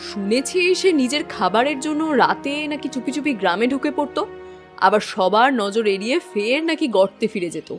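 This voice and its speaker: A woman's voice: on the high side, expressive, a little fast, soft background music, lightly acted. A woman playing an awed, uneasy gossiping villager in a cartoon fairy tale. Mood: intrigued.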